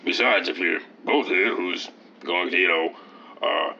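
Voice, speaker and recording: A man's voice: low-pitched, a little slow, pushed and bright, full character voice. An actor playing a slick but hemming and hawing casting man in an old-time radio comedy. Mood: awkward. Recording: noisy, dull old radio sound.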